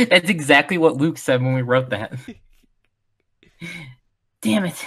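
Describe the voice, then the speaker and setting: A woman's voice: low voice, animated, slow, breathy edge. A woman in casual, joking chat during a group reading.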